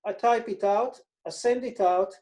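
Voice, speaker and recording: A man's voice: high voice, a little slow, darkish tone. A man from Israel with an Israeli accent, a financial advisor explaining his methods in a casual interview and repeating words for emphasis.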